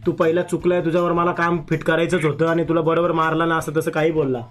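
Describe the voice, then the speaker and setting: A young man pitches his voice a little high and talks very fast. He complains in agitated street slang, as a prank caller would, with soft music playing behind him. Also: monotone. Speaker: prank caller on a live stream. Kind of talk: casual prank phone talk in street slang.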